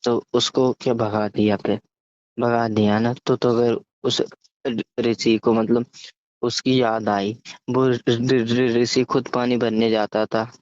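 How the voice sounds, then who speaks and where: A man casually telling a story over the phone, halting and often stammering, repeating syllables and words. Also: mid-range voice, level tone, a little mellow. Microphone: phone call. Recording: muffled, echoey phone call recording.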